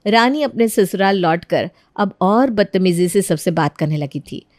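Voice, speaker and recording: A woman's voice: medium pitch, animated, unhurried, darkish tone, no room sound. Female story narrator, scripted read, dry studio-like sound with very little room.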